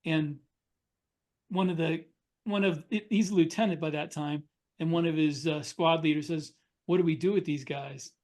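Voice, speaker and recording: A man's voice: fairly high pitch, fairly even intonation, moderate pace, a little mellow. A man co-hosting a podcast, telling things informally in casual conversation with fellow writers. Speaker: podcast co-host and military sci-fi author. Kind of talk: casual chat, informal storytelling.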